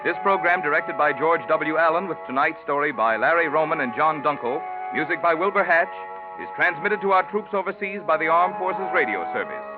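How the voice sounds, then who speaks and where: A man's voice: fairly high pitch, animated, a little fast. An American man announcing and reading sponsor copy on 1940s radio in a polished, emphatic sales delivery. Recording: tinny 1940s radio, faint music.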